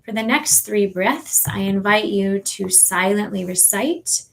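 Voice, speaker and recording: A woman yoga teacher guides a meditation at a very slow pace. Her mid-pitched voice has a clear creak to it. She is soothing and measured, leaving long pauses between short phrases. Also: lively intonation, fairly bright tone, low-quality recording. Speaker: yoga nidra and sound healing teacher.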